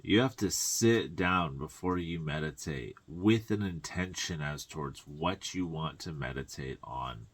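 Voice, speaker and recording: A man with a very deep voice talks informally as a spiritual guide and tarot reader. His delivery is a reflective coaching monologue, halting in its phrasing and sprinkled with colloquial asides. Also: husky.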